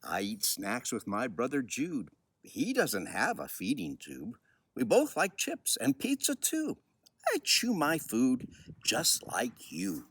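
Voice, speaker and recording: An older man with a husky voice, speaking expressively and without hurry like a children's author telling a story. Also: mid-range voice, wildly swooping pitch, slow, fairly bright tone. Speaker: children's book author reading aloud. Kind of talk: personal commentary and storybook reading.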